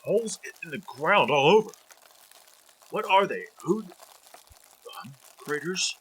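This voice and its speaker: Guy acting as snobby bossy scientist husband in an old radio play, melodramatic, pitch all over, bit of hiss. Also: animated, takes it slowly, fairly bright tone. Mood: puzzled, uneasy. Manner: domineering, condescending, melodramatic. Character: cold, contemptuous scientist husband unravelling into panic. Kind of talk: 1940s radio drama acting.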